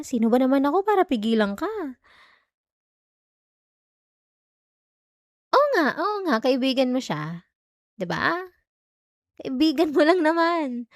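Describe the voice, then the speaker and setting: A woman's voice: on the high side, no echo, clean studio sound. A woman with a Filipino accent playing a jealous, hurt young girlfriend in an emotional, sarcastic audio roleplay. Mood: bitter, sarcastic. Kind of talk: Tagalog ASMR girlfriend roleplay, acted monologue.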